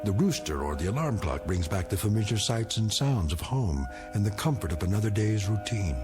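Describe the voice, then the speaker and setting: A solemn narrator introducing eerie radio tales, with music clearly behind him. His lowish voice rises and falls widely. He speaks with measured irony and a portentous air. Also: big pitch swings. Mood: ominous, knowing. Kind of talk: dramatic scripted narration.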